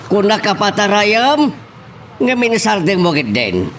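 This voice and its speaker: A man's voice: high voice, wildly swooping pitch, a little slow, a bit bright, slight background noise, heavily acted. A man acting a commanding, impatient town mayor in an Ilocano radio-style drama. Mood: commanding, irritated.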